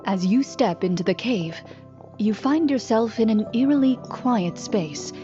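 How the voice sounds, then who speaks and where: A woman playing a composed, reserved young game master, narrating an adventure in an anime video game in a calm, measured, formal way. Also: a little high. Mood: calm, solemn. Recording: dull game audio with music behind.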